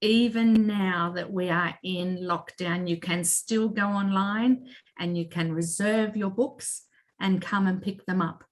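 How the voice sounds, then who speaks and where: Slow-talking woman hosting informally. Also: mid-range voice, fairly even intonation. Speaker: library host and moderator.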